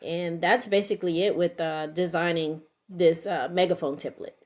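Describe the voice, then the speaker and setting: Slightly low-voiced woman giving a tutorial. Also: fairly even intonation, normal speed. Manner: unscripted, thinking aloud while working. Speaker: craft tutorial host. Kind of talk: informal step-by-step screen tutorial narration.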